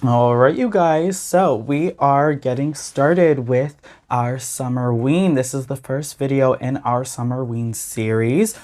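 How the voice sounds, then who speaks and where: Slightly hoarse man hosting a tarot channel. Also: medium pitch, slow pace, hardly any pauses, a little mellow. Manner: earnest, often adds "okay?" and "you guys". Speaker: tarot reader and channel host. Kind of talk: casual talk to camera.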